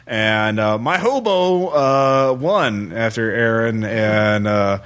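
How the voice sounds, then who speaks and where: A man hosting a podcast, chatting casually about games in a rambling, halting way. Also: lowish voice, a bit bright, clear, resonant tone. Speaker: podcast host.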